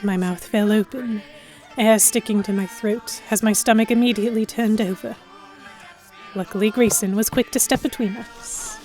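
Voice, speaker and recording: Lady narrator reading a first person story, medium pitch, bit of music behind. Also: fairly even intonation, moderate pace, darkish tone.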